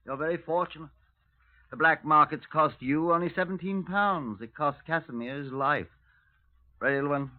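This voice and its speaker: An actor playing a senior Scotland Yard detective in a 1950s radio drama, wry, clipped and formally polite. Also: male voice, fairly high pitch, moderate pace, narrow, tinny audio, close to an everyday voice. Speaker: detective and narrator. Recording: thin, band-limited 1950s radio recording.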